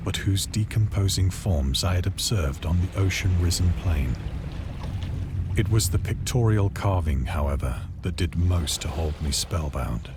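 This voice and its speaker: A man playing a haunted, awestruck survivor, narrating an old-fashioned horror tale in a grave, formal, deliberate manner. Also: sits low, noisy background. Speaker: narrator of a horror visual novel. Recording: loud sea and boat ambience behind.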